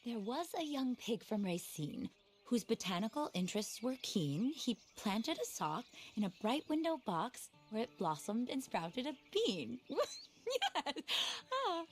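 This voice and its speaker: A woman's voice: fairly high pitch, big pitch swings, a little slow, soft background music. A woman acting an eccentric, passionate, animal-loving naturalist in a video game, theatrical, earnest and a little scattered. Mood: whimsical, flustered. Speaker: quest-giving wildlife specialist in a western game.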